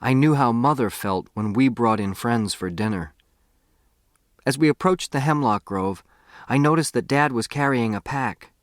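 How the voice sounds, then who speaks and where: A man's voice: mid-range voice, moderate pace, dry recording. Dry, close male audiobook narrator. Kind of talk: scripted first-person novel narration.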